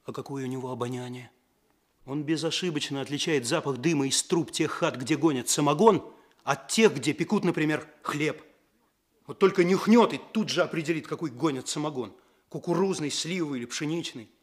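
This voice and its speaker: A male actor playing an eager, naive young police detective in a radio play, earnest, hurried and deferential. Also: fairly high pitch, natural voice. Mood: fervent admiration. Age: young adult.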